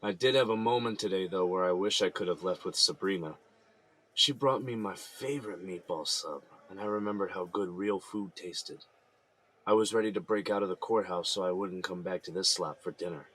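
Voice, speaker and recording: Guy playing earnest tired inmate, low voice w a crisp bright edge, reflective, audio drama. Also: normal speed, rough recording. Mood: wistful. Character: earnest, weary male defendant held in jail.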